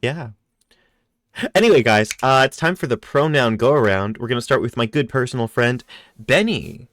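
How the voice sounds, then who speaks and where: A host running the casual joking chat before a tabletop game stream, often jumping into high squeals for fun. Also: animated, normal speed, a bit bright, dry recording. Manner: playful, jumps into high squeals.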